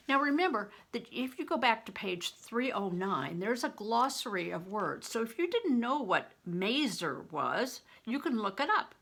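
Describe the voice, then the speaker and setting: An older woman narrates a story as a grandmother would read it aloud. Her pace is slow and deliberate, and her tone is bright and clearly projected, with expressive phrasing. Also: medium pitch.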